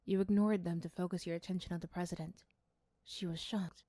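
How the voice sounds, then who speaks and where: Soft, mellow woman narrating a story. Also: fairly low pitch, fairly even intonation, fairly quick, no echo. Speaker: storyteller and voice performer. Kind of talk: ASMR roleplay scripted narration.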